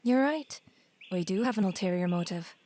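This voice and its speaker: A woman playing an earnest young new wife in an audio drama, gentle and polite but persistent. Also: mid-range voice, lively intonation, normal speed, natural voice. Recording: faint noise, birdsong behind the voice.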